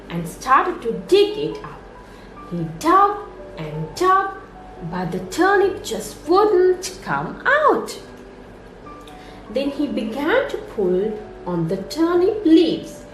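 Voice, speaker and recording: High, very animated woman storyteller. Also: slow pace. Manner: sing-song, expressive delivery for young children. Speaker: nursery storyteller. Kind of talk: children's story read-aloud. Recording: roomy echo, music underneath.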